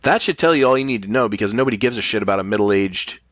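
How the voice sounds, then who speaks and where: Low-voiced American man, opinionated and sweary. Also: racing, fairly bright tone, no echo, muffled recording. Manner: emphatic, swears freely, repeats points. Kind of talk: casual opinionated chat.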